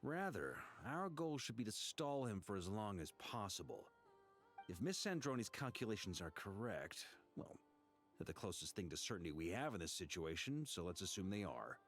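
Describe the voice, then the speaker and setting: An actor voicing a seasoned, pragmatic knight commander in a video game, talking in a measured, informal way. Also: male voice, fairly low pitch, very animated, soft background music. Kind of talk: scripted video game dialogue acting.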